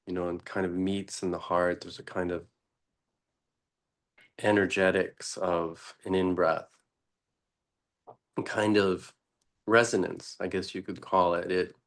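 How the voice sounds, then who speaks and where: A man teaching meditation in an unscripted, deliberate way, pausing often between short phrases. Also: low voice, little pitch movement.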